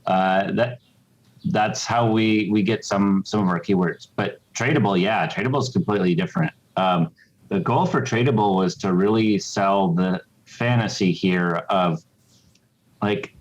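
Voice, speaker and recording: A man explaining as an interviewed game designer, measured and pausing between phrases. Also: on the low side, poor audio.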